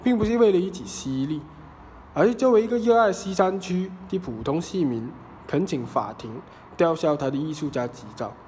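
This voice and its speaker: A man's voice: on the high side, moderate pace, warm tone, close to an everyday voice. A man playing a pompous, self-righteous real-estate businessman, pleading formally and indignantly in a satirical courtroom podcast drama. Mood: self-righteous complaint. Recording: roomy reverb with faint noise.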